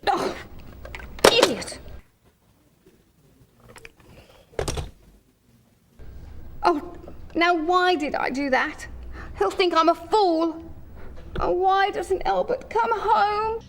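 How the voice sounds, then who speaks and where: A woman's voice: very high voice, slow, long pauses, heavily acted. An actress playing a querulous, self-centred, neurotic woman, pleading and imperious in theatrical suspense radio style. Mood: fretful, self-reproaching. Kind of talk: suspense radio drama acting.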